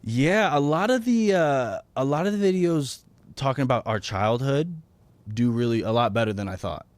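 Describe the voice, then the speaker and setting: A man's voice: mid-range voice, expressive, normal speed, dry recording. A man from Florida chatting casually as a comedian guest in an interview, often restarting and correcting himself mid-thought. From Florida, United States.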